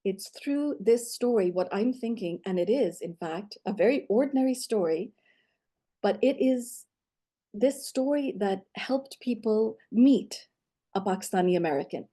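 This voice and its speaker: Reflective woman with a mellow voice. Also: medium pitch. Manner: reflective, measured, with frequent you-knows. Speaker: guest storyteller. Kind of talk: personal storytelling in an interview.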